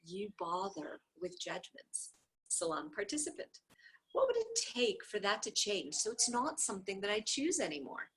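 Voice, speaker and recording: A woman reading a dialogue book aloud, speaker labels included, with repeated phrases in an even, steady cadence. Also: medium pitch, normal speed, breathy edge, poor audio. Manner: occasional soft offhand asides.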